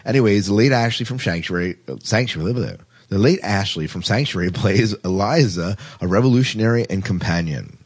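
A man's voice: lowish voice, brisk, few pauses, slightly rough. A man hosting a podcast, chatty and rambling, reading out news items in a casual, self-deprecating way. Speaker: podcast host.

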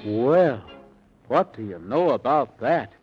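A man's voice: mid-range voice, animated, slow pace, soft background music. A man narrating a children's serial in 1930s radio announcer style. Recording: dull, muffled 1930s radio recording.

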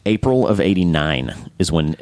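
A man hosting a casual podcast chat, enthusiastic and rambling, with frequent restarts and a slightly hoarse voice. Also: sits low, big pitch swings, normal speed, darkish tone, no echo. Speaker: podcast host.

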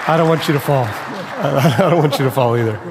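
A man's voice: fairly high pitch, lively intonation, brisk, a crowd in the background. Casual pastor losing his voice. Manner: casual, self-deprecating, with fillers and restarts. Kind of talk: sermon. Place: church sanctuary. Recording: long hall reverb.

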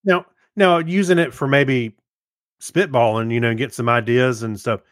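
A man's voice: medium pitch, fairly even intonation, moderate pace, a bit bright, no echo, clean studio sound. A man co-hosting a podcast in casual chat, with loose, hesitant phrasing that tends to trail off. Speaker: podcast co-host.

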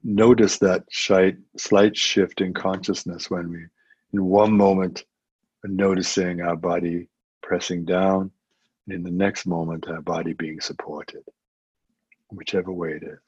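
Low, husky man guiding meditation. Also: little pitch movement, dry recording. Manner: gentle, unhurried instruction with long pauses. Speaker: meditation teacher. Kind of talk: guided meditation. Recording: muffled, dull lo-fi audio.

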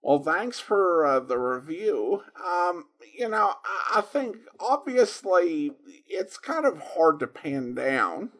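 A man hosting a podcast, giving casual commentary on old radio shows in a halting, conversational way with emphatic swings. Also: high voice, very animated, a bit bright. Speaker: podcast host.

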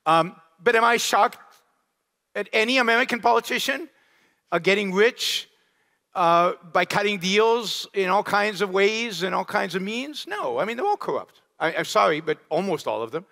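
A man lecturing in a large hall, his voice bright and forceful with a long reverb trailing behind it. He speaks a little high, animated and emphatic, and leans on rhetorical questions. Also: normal speed, vocal fry. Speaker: lecturer answering audience questions. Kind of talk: public lecture Q&A.

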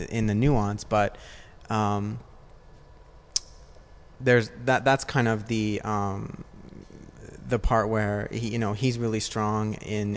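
A man giving casual interview answers as a podcast guest, hesitant and searching for his words. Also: lowish voice. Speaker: podcast guest, design business partner.

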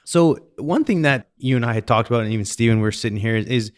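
A man's voice: mid-range voice, lively intonation, fast. A man from British Columbia, Canada, hosting a podcast in a casual, conversational interview style. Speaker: podcast host.

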